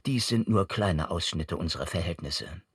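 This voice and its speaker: A man with a lowish, noticeably husky voice reads in a formal, official style. His delivery is measured and earnest, recorded close and dry without room sound. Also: animated, no echo. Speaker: reader of an official letter. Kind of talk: formal scripted reading.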